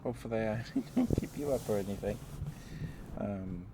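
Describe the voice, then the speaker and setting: A man hosting a casual ASMR livestream, chatting to viewers in a gentle, apologetic, rambling way. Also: lowish voice, moderate pace. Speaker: livestream host. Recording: clearly noisy background.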